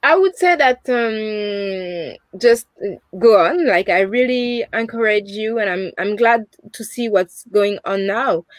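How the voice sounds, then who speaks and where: A woman's voice: mid-range voice, takes it slowly, no room sound. A young woman with a French accent, speaking earnestly on a video call as an interview guest, often restarting and adding "you know". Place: home room, indoors.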